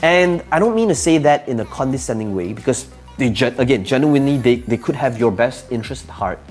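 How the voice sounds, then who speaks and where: A man's voice: medium pitch, expressive, a little fast, soft background music. A man whose first language is Cantonese gives motivational advice to camera, speaking emphatically, asking rhetorical questions and repeating his key phrases. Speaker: entrepreneur giving advice.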